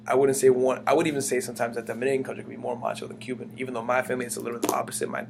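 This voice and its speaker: A young man speaks in quick bursts and trips over his own words, restarting his sentences. His voice has a gravelly edge. A steady hum runs under the recording. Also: medium pitch. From United States. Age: young adult. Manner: rambling, many fillers and restarts. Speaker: interviewee. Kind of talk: casual conversational interview. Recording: humming background noise.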